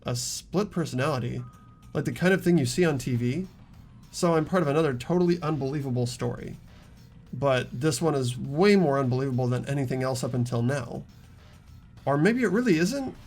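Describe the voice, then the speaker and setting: A man's voice: mid-range voice, lively intonation, fairly quick, darkish tone, faint music behind. A man voicing an earnest, anxious teenage schoolboy protagonist, narrating dramatically as in a visual novel. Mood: grim, stunned. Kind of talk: visual novel character reading.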